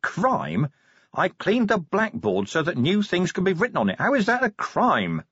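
Audiobook police commander, middle-aged man, played by the narrator: combative, blunt, sardonic, highly animated delivery. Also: moderate pace, breathy edge, no echo, lo-fi sound, full character voice. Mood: indignant. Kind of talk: audiobook character acting.

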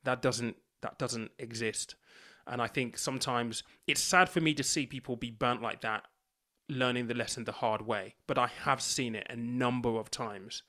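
Guest man, UK-based, earnest explainer, acts out dialogue, mid pitch. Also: steady tone, normal speed, bright, forceful tone. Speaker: financial coach, guest. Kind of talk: conversational storytelling.

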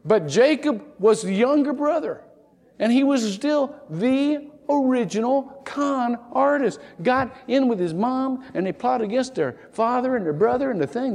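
A pastor preaching a sermon, a man with a high voice that swings up and down a lot. He tells his stories in a folksy, humorous way and slips into little acted-out voices along the way. The sound carries a long reverb from a large hall. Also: a little mellow.

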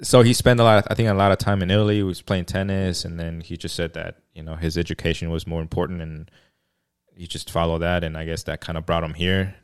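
A man with a low voice and a smooth, resonant tone hosts a laid-back podcast conversation. He is from Mexico but speaks English without an accent, and he talks in an easygoing, halting way, full of fillers and restarts. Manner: relaxed, hesitant, many fillers and restarts. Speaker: podcast host. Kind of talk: casual chat.

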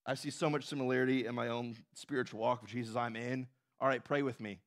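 A man's voice: medium pitch, fast talker. A man preaching a sermon as pastor, conversational, often asking "right?" and acting out quotes with a raised voice. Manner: animated, acts out quotes with raised voice.

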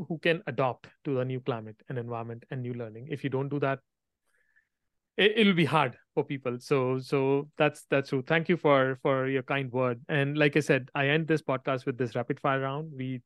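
A man hosting a podcast interview, friendly and a bit halting as he asks questions. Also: mid-range voice, no room sound, studio quality. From India. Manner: friendly, halting phrasing with restarts. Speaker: podcast host.

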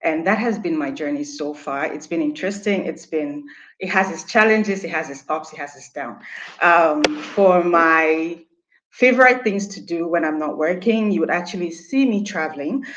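A woman's voice: deep voice, moderate pace, a bit bright. A woman from Nigeria introducing herself on a video call, apologetic and with frequent ums. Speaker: community co-founder. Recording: dull lo-fi call audio.

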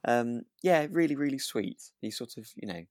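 A man's voice: mid-range voice, expressive, moderate pace, darkish tone, dry recording, clean studio sound. A man in casual podcast chat as co-host, agreeable and hesitant in his phrasing. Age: over 25, adult. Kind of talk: casual chat reviewing a radio drama.

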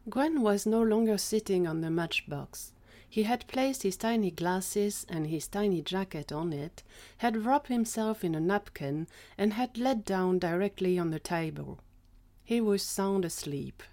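A woman's voice: medium pitch, animated, a little slow, mellow. A woman narrating a scripted story in an audio drama.

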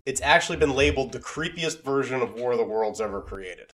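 A man speaks as a podcast co-host in casual conversation. His pitch is average for a man. He explains things informally, rambling and restarting as he goes. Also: animated, fast talker, fairly bright tone, a little hiss or hum. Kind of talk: casual chat.

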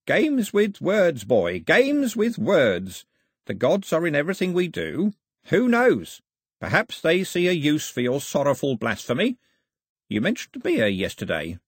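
A man performs a storybook's old toothless holy man, grandly superstitious and sly. The voice is husky and lifted high, swooping as he declaims and gloats. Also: wildly swooping pitch, a little slow, no room sound, clean studio sound, full character voice. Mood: pompous, chiding.

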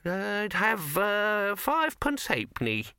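A man's voice: high voice, wildly swooping pitch, takes it slowly, creaky, sharp tone, smooth, no echo, clean studio sound, voice pushed up, exaggerated voice. A man voicing a very old, rambling male wizard who chuckles and trails off into memories. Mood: reminiscing. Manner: wheezy reminiscing, chuckling, trailing off.

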